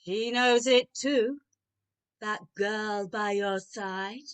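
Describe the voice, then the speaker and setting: A woman playing a solemn, relentless ghostly spirit in a radio-style drama over a video call, deliberate, dramatic and commanding. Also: mid-range voice, steady tone, smooth. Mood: accusing. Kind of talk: rehearsed radio-script drama reading.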